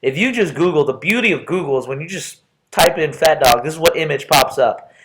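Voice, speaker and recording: A man's voice: a little high, expressive, fairly quick, fairly bright tone, slight background noise, poor audio. A middle-aged man from Texas with an American accent gives a playful, chatty, informal self-introduction as a college professor, often stopping and restarting. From Texas, United States. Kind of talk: informal self-introduction lecture for an online class.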